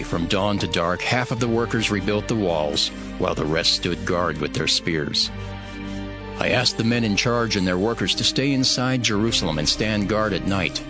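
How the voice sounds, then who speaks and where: A man's voice: on the low side, close to an everyday voice. A man playing a resolute, devout leader narrating dramatized scripture in an earnest, declamatory way. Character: Nehemiah, resolute devout male leader rallying his people.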